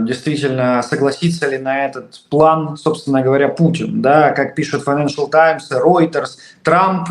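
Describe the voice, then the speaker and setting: A man with a fairly high voice asks an interviewer's questions in a measured, formal way. His voice carries a noticeable room echo.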